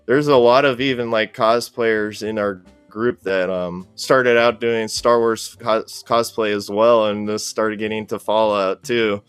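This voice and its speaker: A man's voice: fairly low pitch, fairly even intonation, measured pace, few pauses, clear, resonant tone, no echo, faint music behind. A man speaking as a podcast guest in casual, easygoing, appreciative conversation. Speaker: podcast guest, cosplay group organizer. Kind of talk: casual interview chat.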